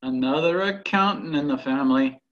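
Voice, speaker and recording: A man's voice: a little high, a little fast, lightly acted. An actor playing a fussy buddy who nitpicks and stammers over his corrections, heard through muffled call audio.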